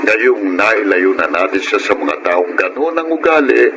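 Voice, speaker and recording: A man playing a kindly, patient priest with a Filipino accent in a radio drama, speaking in a gentle, fatherly way. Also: on the low side, expressive, measured pace. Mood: reassuring. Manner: gentle, reassuring. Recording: noisy radio drama recording.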